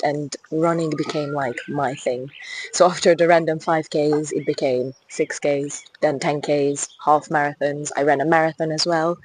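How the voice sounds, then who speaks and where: Low-voiced Hungarian woman vlogging. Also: a little mellow, a little hiss or hum, rough recording. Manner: chatty and enthusiastic, with restarts and light laughs. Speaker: fitness ambassador. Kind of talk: casual vlog while walking. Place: outdoors on a river path.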